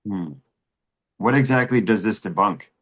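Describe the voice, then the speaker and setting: A man's voice: fairly low pitch, expressive, a little slow, fairly bright tone. A man playing an incredulous, easily upset sceptic in a screenplay table read, reacting with disbelief. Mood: puzzled. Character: Tom, incredulous male sceptic, easily upset audience member.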